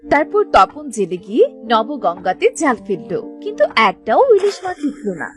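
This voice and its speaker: A woman's voice: a little high, big pitch swings, normal speed, over music. A woman narrating a cartoon story in a scripted storytelling style.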